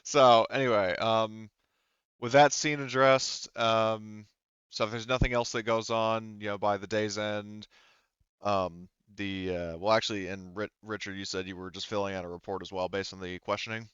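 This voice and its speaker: A low-voiced man with a clean, smooth tone runs a tabletop game. He narrates informally and haltingly, with casual swearing. The recording is dry and a little dull. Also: little pitch movement, a bit bright, no echo. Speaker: game master.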